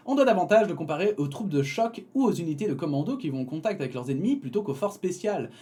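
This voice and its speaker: A man's voice: fairly high pitch, mellow. A young man reading a prepared text, breaking off for casual asides full of hesitations and small laughs. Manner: reads then digresses with fillers and laughs. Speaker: YouTube commentator.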